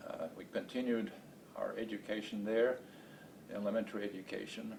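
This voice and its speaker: A man in his late seventies from Estonia, a native Estonian speaker, recalling his life in an oral history interview in a halting, deliberate way with many hesitations. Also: fairly even intonation, vocal fry, darkish tone. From Estonia, later Baltimore, USA. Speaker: interview guest, space program veteran. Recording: distant mic, noisy rumbling background.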